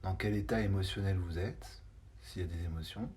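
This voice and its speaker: A man guiding a body scan meditation in unhurried, spaced-out short phrases with some hesitation. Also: low voice, a little mellow, slight background noise. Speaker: meditation guide.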